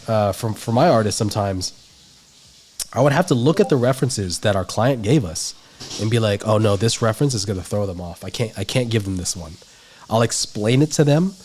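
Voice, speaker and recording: Stuffy-nosed man teaching art, low voice. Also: a little mellow, a little hiss or hum. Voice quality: nasal. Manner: rambling, filler-heavy explaining. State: stuffy nose. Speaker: art instructor. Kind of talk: livestream art lesson.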